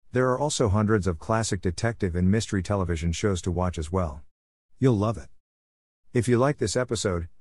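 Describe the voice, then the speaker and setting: Male host, very low voice, dry close-mic scripted intro. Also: darkish tone, no room sound. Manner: friendly, reading plainly. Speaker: podcast host.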